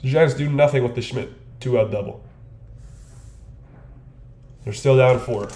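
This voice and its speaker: A man's voice: mid-range voice, measured pace, warm tone. A man doing informal live baseball play-by-play for a stream, with casual, fan-like asides. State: bouts of coughing. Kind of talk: informal play-by-play commentary with chat. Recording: roomy echo, faint background noise.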